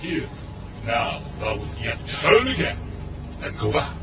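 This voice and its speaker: A man's voice: fairly high pitch, big pitch swings, gravelly, highs cut off, exaggerated voice. A man playing a hideous, proud fiend prince in an audio drama, speaking archaic lines with grandiose disdain and menace. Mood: scornful, menacing. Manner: slow, grandiose, disdainful delivery. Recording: echoey, distant, under loud music. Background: loud music.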